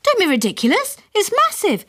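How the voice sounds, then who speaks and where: A woman's voice: very high voice, huge pitch swings, fairly quick, a bit bright, pitched above the performer's own voice, full character voice. A woman doing a wailing, snappish mum. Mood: exasperated. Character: exasperated, fussing British mother.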